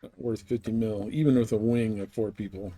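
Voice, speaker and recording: A man's voice: on the low side, fairly even intonation, brisk, dark tone, dry recording. A man giving casual live commentary as he plays a video game, muttering offhand to himself.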